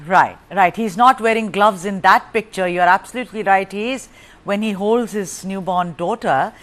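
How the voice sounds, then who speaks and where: A woman's voice: medium pitch, normal speed. Probing news anchor woman. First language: Tamil. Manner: probing, pressing questions. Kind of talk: live TV interview.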